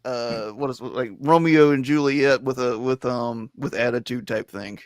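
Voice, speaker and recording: A man's voice: mid-range voice, unhurried, dry recording. A man hosting a casual roundtable stream, rambling with lots of fillers and frequent laughs. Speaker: host of a comics stream. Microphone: remote stream mic.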